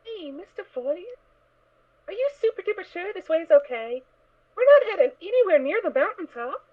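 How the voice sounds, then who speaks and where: Super high little pony kid, cheery n naive, singsong, tinny narrow sound, audio drama. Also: female voice, sing-song, normal speed. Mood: cheerful, curious. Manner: bubbly, childish, singsong. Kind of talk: fan audio drama acting.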